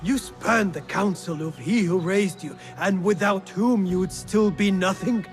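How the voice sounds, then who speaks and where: A man's voice: sits high, measured pace, soft background music. A man playing a haughty, possessive rich patron in a video game, pompous and indignant. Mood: angry, indignant. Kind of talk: theatrical video game acting.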